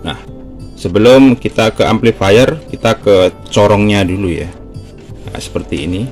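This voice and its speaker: A man's voice: lowish voice, normal speed, faint music behind. A man hosting his own channel, talking casually and loosely while he shows a repair step by step. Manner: relaxed, chatty, with many fillers like "nah" and "nih". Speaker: YouTube channel host. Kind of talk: casual hands-on repair demonstration.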